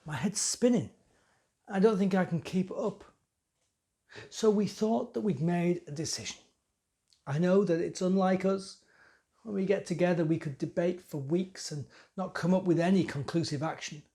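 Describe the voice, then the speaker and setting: A man's voice: high-pitched, normal speed, dark tone, pitched above the performer's own voice. Man playing a rattled, scheming young temple priest. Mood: flustered, confiding. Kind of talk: dramatic monologue.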